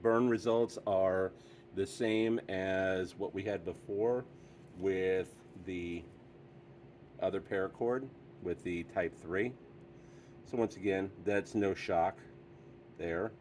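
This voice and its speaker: A man's voice: low voice, fairly even intonation, slow pace, pauses often, darkish tone, a little hiss or hum. A man hosting a casual product review, talking in an easygoing, chatty way with frequent fillers.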